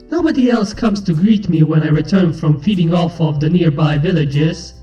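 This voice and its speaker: A motherly changeling queen, played by a woman, speaks in a mellow, measured voice. She is regal and stern yet tender, a storybook creature with music playing behind her. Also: lowish voice, echoey room, far from the mic, close to an everyday voice, low-quality recording.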